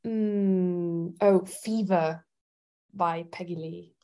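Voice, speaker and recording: Woman from Italy, interview guest. Slightly low, gravelly fry, thoughtful and hesitant, casual chat. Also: fairly even intonation, drawn-out words, warm tone. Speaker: singer-songwriter guest.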